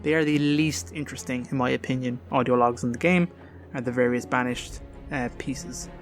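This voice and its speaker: A man's voice: mid-range voice, fairly even intonation, moderate pace, soft background music. A man hosting a podcast, talking casually and informally with emphatic opinions. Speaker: podcast host.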